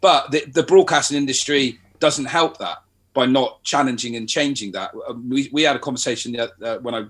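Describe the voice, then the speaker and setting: A man speaking frankly as a radio panel guest. His voice is bright and forceful with a husky grain, and he is plainly opinionated. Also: mid-range voice, fairly quick.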